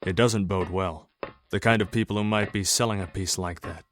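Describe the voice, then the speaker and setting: A man's voice: low voice, steady tone, normal speed, slight background noise, natural voice. A man playing a grim, commanding masked vigilante in an audio drama, terse and businesslike. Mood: grim focus.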